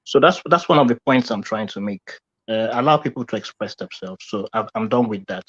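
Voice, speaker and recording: A man calling in to a live show, making his points in a measured, argumentative way. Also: lowish voice, expressive, slightly rough. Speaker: caller on a clip. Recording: dull, lo-fi clip audio.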